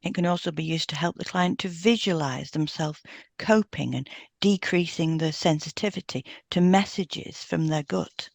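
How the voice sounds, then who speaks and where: A woman co-hosting a health podcast, reading scripted material in a measured, explanatory way and carefully sounding out technical terms. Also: low voice, vocal fry, no echo. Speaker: podcast co-host and hypnotherapist. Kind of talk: scripted educational narration.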